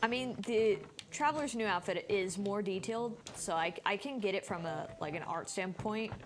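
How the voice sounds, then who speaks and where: A woman's voice: medium pitch, moderate pace. A woman streaming a game, giving casual live commentary and thinking aloud in a chatty way.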